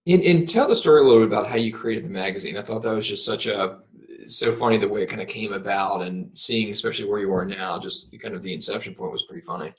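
Fast-talking, low-voiced co-host. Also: male voice, low-quality recording. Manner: rambling, joking. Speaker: podcast co-host. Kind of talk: casual podcast chat.

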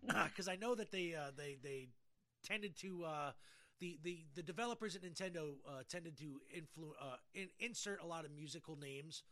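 Bright, higher-pitched man chatting casually. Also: normal speed. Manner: colloquial, slangy. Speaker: podcast host. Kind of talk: casual podcast chat.

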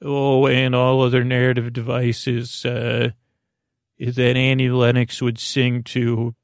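A man hosting a bedtime podcast, rambling haltingly through whimsical, improvised asides and stumbling over his words in a creaky voice. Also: mid-range voice, completely flat delivery, no echo, clean studio sound. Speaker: podcast host. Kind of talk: rambling improvised bedtime monologue to help listeners fall asleep.

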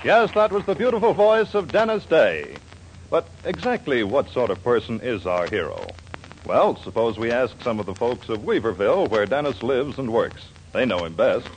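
A man's voice: medium pitch, animated, normal speed, slight background noise, rough recording. A man announcing and narrating a 1940s radio show in a projected, theatrical manner. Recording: 1940s radio broadcast with music.

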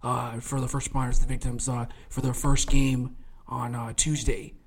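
A man's voice: medium pitch, level tone, normal speed, creaky, husky. A man in his late twenties co-hosting a casual sports podcast, chatty and rambling, with plenty of fillers and frequent laughter. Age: 20s. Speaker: podcast co-host.

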